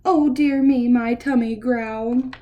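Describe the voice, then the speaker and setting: A woman's voice: on the high side, steady tone, slow pace, mellow, slight background noise. A woman voicing hungry, demanding animal customers in a picture book, whining and insisting about their food. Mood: clamouring.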